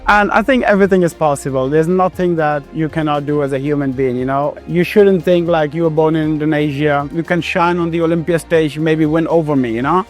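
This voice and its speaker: A man's voice: on the high side, brisk, few pauses. A man from Afghanistan giving casual, motivational interview answers as a pro athlete, conversational and often adding "you know". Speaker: professional bodybuilder being interviewed. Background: music.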